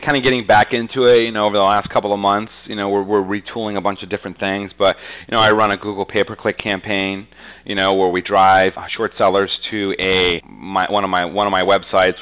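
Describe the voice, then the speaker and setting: A man's voice: fairly low pitch, a bit bright, clear, resonant tone, poor audio. A man being interviewed as a real estate agent, talking conversationally and confidently, with frequent verbal tags. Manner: frequently punctuates talk with "you know".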